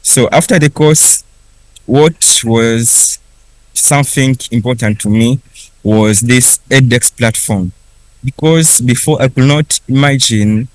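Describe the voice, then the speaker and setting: A young man whose first language is Kiswahili, telling his personal story slowly in English, with pauses and restarts. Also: medium pitch, darkish tone, dry recording, poor audio. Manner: unhurried, with frequent restarts and pauses. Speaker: refugee speaker at a conference.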